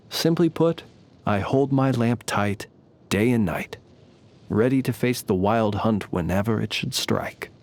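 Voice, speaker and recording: A courtly, enigmatic knight from a fantasy video game, with a mid-pitched voice. He speaks formally and eloquently, with the modest, old-fashioned manners of a gentleman who keeps watch with his lamp. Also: creaky. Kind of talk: scripted game character voice lines.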